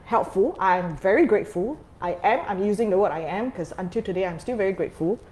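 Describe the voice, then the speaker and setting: Singaporean woman guest, jokey, lively storyteller. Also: medium pitch, fast. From Malaysia. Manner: joking, animated storyteller. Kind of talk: casual interview.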